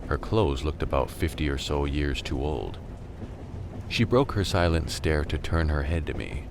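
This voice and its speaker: A man's voice: rumbling low, unhurried, gravelly, background noise, exaggerated voice. A man playing a jaded scavenger who narrates a radio drama in a wry, matter-of-fact, weary way. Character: jaded male scavenger in a silent post-virus America, narrating.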